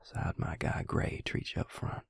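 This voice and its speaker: A man playing a devious vampire posing as a suave dentist in an intimate audio roleplay, talking softly and reassuringly to the listener in a breathy, gravelly half-whisper. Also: no room sound, studio quality, lightly acted. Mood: smooth, welcoming. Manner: soft-spoken, slow, reassuring bedside patter. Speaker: dentist character. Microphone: close, dry microphone.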